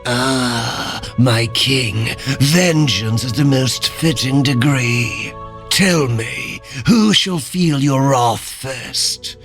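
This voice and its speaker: An actor playing a scheming, obsequious servant of a dark king in a fantasy audio drama, with a courtly, measured manner. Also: medium pitch. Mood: fawning intrigue. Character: fawning, scheming male henchman to a dark king. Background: dramatic music.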